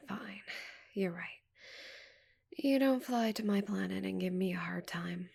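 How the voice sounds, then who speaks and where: A woman voicing a haughty, flustered giant female alien in an ASMR roleplay, breathy and whispery, with a prim, halting delivery. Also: medium pitch, a little mellow, exaggerated voice. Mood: wry, curious.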